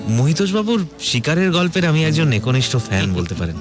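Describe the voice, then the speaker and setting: A man's voice: fairly high pitch, very animated, fairly quick, over music. A man acting a polite, earnest and loyal friend in an audio drama, speaking Kolkata Bengali deferentially with some hesitation. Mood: enthusiastic.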